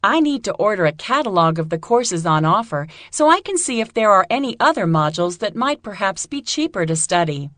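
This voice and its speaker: An actress playing an eager, creative young student in a clearly spoken, scripted listening-exam conversation. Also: female voice, medium pitch, sing-song, brisk, few pauses, fairly bright tone, dry recording. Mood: concerned, eager.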